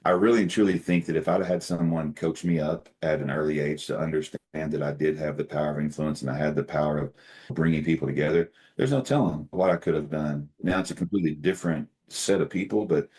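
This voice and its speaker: A middle-aged man with a very deep bass voice, a company CEO telling candid, folksy stories with self-deprecating humor in an interview. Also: fast talker, darkish tone. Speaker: CEO guest. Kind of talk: casual interview conversation.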